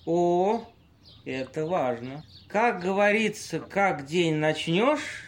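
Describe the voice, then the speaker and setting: A man playing a drunken, pompous homeless man in an amateur audio play, halting and stumbling over long words. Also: high voice, expressive. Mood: tipsy, earnest.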